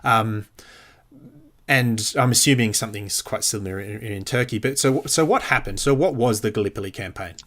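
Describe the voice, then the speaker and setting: A man's voice: lowish voice, moderate pace, a bit bright. A man hosting a history podcast, casual and hesitant with many fillers and repeated words. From Australia. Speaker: podcast host. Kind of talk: casual podcast intro and interview.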